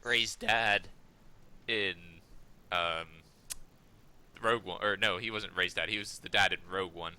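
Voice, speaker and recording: A man's voice: low-pitched, fairly even intonation, unhurried, pauses often, sharp tone, clean tone. A man co-hosting a podcast in casual chat, loosely correcting himself as he goes. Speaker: podcast co-host.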